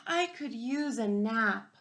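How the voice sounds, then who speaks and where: A gentle, weary man from a picture book, acted out by a woman reader, speaks slowly and politely. The voice is fairly high with a creaky edge, mild and under the weather. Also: animated, heavily acted. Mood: tired.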